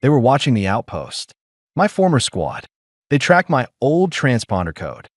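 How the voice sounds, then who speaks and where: A man voicing a weary, gruff human ex-mercenary who speaks low and clipped. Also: wildly swooping pitch, moderate pace, no echo, clean studio sound. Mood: urgent.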